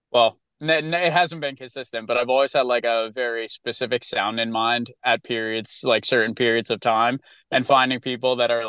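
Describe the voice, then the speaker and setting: A musician guest in an interview, answering in a hesitant, laid-back way full of fillers. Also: male voice, medium pitch, fairly bright tone, smooth, dry recording. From upstate New York.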